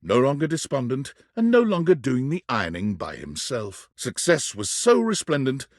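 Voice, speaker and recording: A man playing the narrator of a video game, telling the story in a scripted, dramatic, deliberate storybook manner. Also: mid-range voice, wildly swooping pitch, dry recording, clean studio sound. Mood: dramatic storytelling.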